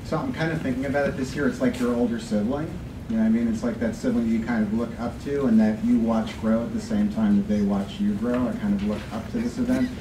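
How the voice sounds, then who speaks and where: A man's voice: mid-range voice, steady tone, fast talker, distant mic. A middle-aged man, a department chair, giving an informal, reflective speech to an audience. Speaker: college English department chair. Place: college classroom at a podium. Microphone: distant camera. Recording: echoey room from afar, audience noise. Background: audience and room noise.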